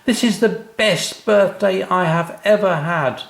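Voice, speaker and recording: Guy doing an excitable little boy from a kids story, squealy n high, kinda echoey room. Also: slow pace, gravelly, exaggerated voice. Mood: excited. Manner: squealing, pleading, exclaiming. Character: excitable, eager young boy in a children's story.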